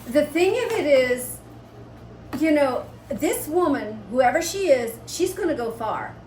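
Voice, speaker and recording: A woman's voice: sits high, expressive, unhurried, darkish tone. A woman co-hosting a family vlog, chatting casually and unscripted. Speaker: co-host of a family homestead channel. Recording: roomy, distant mic with faint noise.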